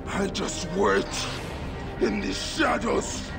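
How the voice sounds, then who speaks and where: A man playing a vengeful, menacing Egyptian warrior in a video game, with slow, intense, threatening delivery. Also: sits high, gravelly, loud music behind the voice, exaggerated voice. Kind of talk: cinematic video game acting.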